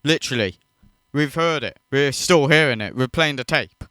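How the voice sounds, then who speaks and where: Man ranting in disbelief, lively voice. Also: mid-range voice, normal speed, smooth, no echo. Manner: incredulous, profane, mocking. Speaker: reaction video host. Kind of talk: ranting reaction commentary.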